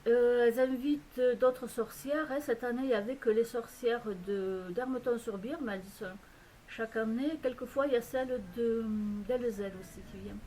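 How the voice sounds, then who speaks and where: Woman, French accent, from France, casual vlog chat, hesitant, lots of fillers, fairly high voice. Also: normal speed, warm tone, slight background noise, low-quality recording. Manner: hesitant, rambling, many fillers and restarts. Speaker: vlogger. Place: home in a Belgian village.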